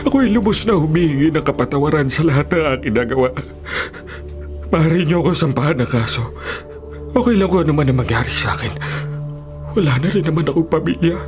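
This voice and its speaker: A man's voice: on the high side, animated, a little fast, long pauses, lightly acted. A man playing an emotional, vengeful old grandfather and doctor in a Filipino radio drama. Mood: subdued remorse. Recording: dramatic music under the voice.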